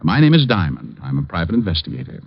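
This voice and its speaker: Private eye: brash, wry, very animated 1940s radio acting, dull vintage sound. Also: male voice, low-pitched, quick pace, vocal fry, exaggerated voice. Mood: polite. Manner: sardonic, wry. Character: wisecracking male private detective, brash and persistent. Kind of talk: 1940s radio acting and first-person narration. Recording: dull lo-fi old radio recording.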